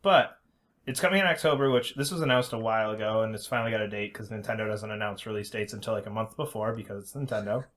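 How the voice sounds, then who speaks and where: A man's voice: lowish voice, fast, a bit bright. A man co-hosting a video game podcast, chatting casually about gaming news with dry, skeptical teasing.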